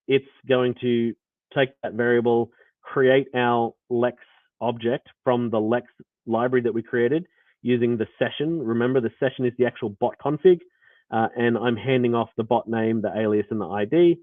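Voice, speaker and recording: A man's voice: mid-range voice, fairly even intonation, normal speed, no echo. Australian man, tinny lo-fi audio. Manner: rambling, many fillers and restarts. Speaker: developer presenting. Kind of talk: live coding walkthrough. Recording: tinny phone-like audio line.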